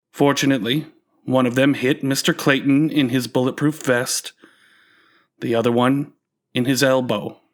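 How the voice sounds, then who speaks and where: A man's voice: medium pitch, natural voice. A man playing a weary, matter-of-fact surgeon in a radio play, speaking in a grave, clinical manner.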